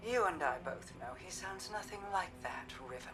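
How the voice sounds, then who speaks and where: A woman's voice: fairly low pitch, animated, moderate pace, recorded from a distance, music in the background, natural voice. A woman voicing a cold, weary, commanding queen in a video game, regal, clipped and stern. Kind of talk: video game character dialogue. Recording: echoing hall, faint ambient noise.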